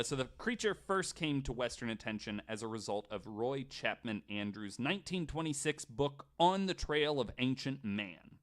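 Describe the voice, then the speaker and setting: A man's voice: on the low side, fairly bright tone. A man in casual podcast conversation, retelling researched stories with a joking, sarcastic, sometimes sweary manner. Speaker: podcast storyteller on a panel.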